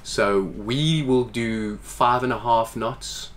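A man's voice: mid-range voice, slow pace. A man in his forties, a boat owner, explaining casually in an interview inside a boat's salon, gesturing as he talks. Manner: animated, gestures with hands while explaining.

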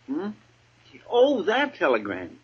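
A man's voice: high voice, huge pitch swings, slow pace, breathy edge, full character voice. A man playing an obliging, deferential deputy with a folksy way of talking in a 1950s radio western. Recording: noisy, dull vintage radio recording.

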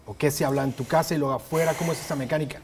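A man's voice: a little high, fairly even intonation, racing, a little hiss or hum. A man hosting a studio interview show, asking short questions and adding brief backchannel replies. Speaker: host. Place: television studio set.

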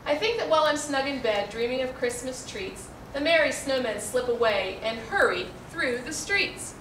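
A middle-aged American woman with a high, bright voice reads a children's storybook aloud, articulating carefully and expressively. She is recorded at a distance in an echoey room. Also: expressive, moderate pace. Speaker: librarian reading a picture book aloud. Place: indoor room, seated before a backdrop. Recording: roomy, distant mic, faint noise.

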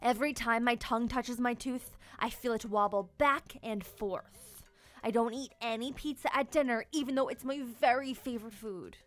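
High-voiced woman reading to young children. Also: moderate pace. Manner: expressive, playful, enunciating for small children. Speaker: storyteller and children's channel host. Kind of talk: animated children's book read-aloud. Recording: music behind the voice. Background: music.

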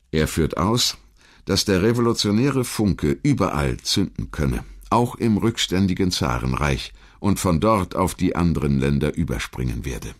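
Man, low-pitched voice, documentary narrator, scripted historical narration.

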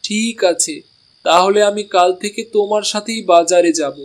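A man's voice: sits high, moderate pace, lightly acted. A man voicing an honest, struggling village meat seller in a cartoon. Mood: earnest.

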